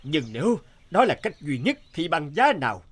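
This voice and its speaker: A man's voice: a little high, sing-song, normal speed, husky. A man voicing a devoted, anxious middle-aged king in a cartoon, earnest and regal. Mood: anxious, determined.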